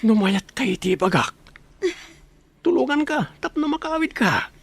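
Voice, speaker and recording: Slow, sly Filipino schemer. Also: male voice, very high voice, very animated, breathy edge, dry recording, exaggerated voice. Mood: sly coaxing. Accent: Ilocano Filipino. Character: sly opportunistic man bargaining with a captive. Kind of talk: Filipino radio drama acting.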